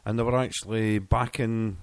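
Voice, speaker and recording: A man's voice: lowish voice, fairly even intonation, normal speed, clean tone, no echo. A man chatting casually as an internet radio DJ between songs, rambling and stumbling over his words.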